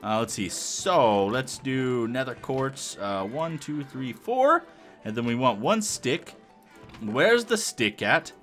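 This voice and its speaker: A young man casually narrating his Minecraft play, rambling and thinking aloud with lots of fillers, his voice a little hoarse. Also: mid-range voice, sing-song, slow pace, fairly bright tone, soft background music. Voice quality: hoarse-sounding. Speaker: Minecraft let's play host. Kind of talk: casual gameplay commentary.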